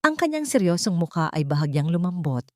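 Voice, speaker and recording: A woman's voice: fairly low pitch, big pitch swings, fairly quick, no echo, clean studio sound. A woman with a Filipino accent reading a scripted, dramatic love story as its narrator.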